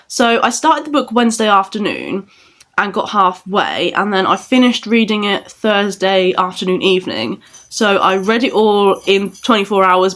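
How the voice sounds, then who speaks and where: A woman's voice: medium pitch, fairly bright tone, no echo. A woman with a congested, bunged-up nose, chatting enthusiastically in a casual book vlog. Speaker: book vlogger.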